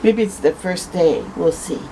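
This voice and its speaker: A woman reading a picture book aloud to children as a storytime host, expressive, with conversational asides. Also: on the low side, a little slow, slight background noise, rough recording. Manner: conversational asides, tags questions with "eh".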